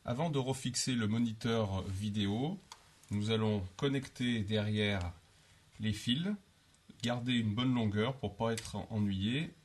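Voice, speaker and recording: A man calmly narrating a home improvement job step by step, describing each action as he goes. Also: on the low side, a little slow. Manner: methodical, explains each step in first person. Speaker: DIY instructor.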